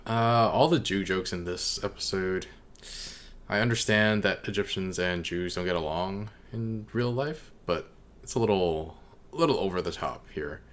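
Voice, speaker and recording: Low voiced dude reacting to a video, casual and halting, thinking out loud. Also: male voice. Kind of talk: casual unscripted commentary.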